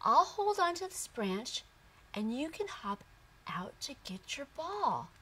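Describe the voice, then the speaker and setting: A woman voicing a neat, polite young male pig in a children's storybook, gentle and a little hesitant. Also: high voice, sing-song, takes it slowly, a little hiss or hum, voice pushed up, exaggerated voice. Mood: eager.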